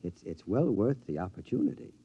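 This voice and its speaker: An actor playing a henpecked husband with a gleeful secret scheme in an old radio drama, excitable, stammering and conspiratorial. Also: male voice, low-pitched, brisk, husky, no echo, exaggerated voice. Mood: smug, delighted. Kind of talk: mid-century radio drama acting. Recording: dull, noisy vintage radio recording.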